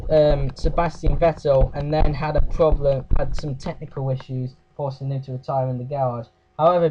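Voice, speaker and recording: A man with a croaky voice giving a casual race recap, hesitant, with fillers and self-corrections. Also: fairly high pitch, warm tone, lo-fi sound. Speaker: race review host.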